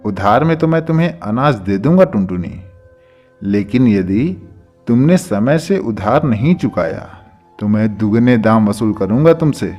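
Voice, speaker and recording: A man voicing a stern, businesslike bird moneylender in a children's cartoon, firm and measured. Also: on the low side, expressive, darkish tone, dull audio, faint music behind. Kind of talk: Hindi children's cartoon dubbing.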